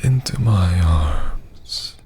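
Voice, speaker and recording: A man voicing a domineering, seductive vampire lord in an intimate ASMR roleplay, with a husky, often half-whispered voice and a teasing, condescending manner. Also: low voice, expressive, darkish tone, a little hiss or hum, heavily acted. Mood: taunting, seductive. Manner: slow, teasing, condescending drawl.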